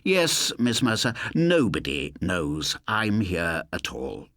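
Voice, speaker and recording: A man voicing a sly male lodger, a murderer who speaks with deliberate mock courtesy in an audiobook story. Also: sits low, wildly swooping pitch, a bit bright, no echo, studio quality, full character voice. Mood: quiet menace. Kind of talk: audiobook character voice.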